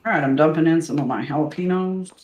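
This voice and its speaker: A woman's voice: low-pitched, fast talker, a little mellow, reverberant, muffled recording, slight background noise. An older woman, chatting earnestly while she cooks at home and drifting into preaching. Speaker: host. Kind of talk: casual chat while cooking. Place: home kitchen.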